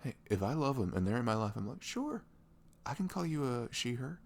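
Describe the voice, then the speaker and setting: A young man playing a sweet, caring boyfriend in an intimate ASMR roleplay, rambling casually in slangy, animated speech. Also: medium pitch, a little fast, creaky. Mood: earnest, supportive. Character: sweet, caring, chatty boyfriend on a morning after. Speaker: audio roleplay voice actor.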